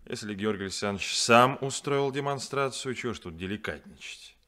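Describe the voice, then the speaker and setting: An actor playing a stern, demanding ship captain in a 1970s radio play, firm and reproachful. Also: male voice, mid-range voice, animated, brisk, bright, forceful tone.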